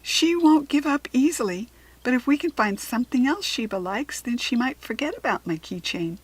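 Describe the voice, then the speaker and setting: Woman as an excitable little fairy, raised pitch. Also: moderate pace, breathy edge, rough recording. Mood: earnest. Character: cheerful, kindly little female fairy. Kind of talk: children's storybook reading.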